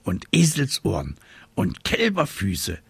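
A man's voice: lowish voice, huge pitch swings, slow, vocal fry, breathy edge, no echo, full character voice. A man voicing a stubborn, grumbling little witch in a storybook, with emphatic, vengeful delivery. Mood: vengeful, indignant.

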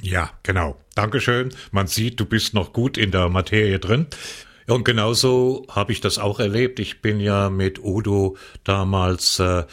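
Older radio co-host from the Palatinate, low voice, loose reminiscing storyteller. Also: male voice, a little slow. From Palatinate, West Germany. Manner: reminiscing, relaxed storytelling with fillers. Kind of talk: casual radio talk.

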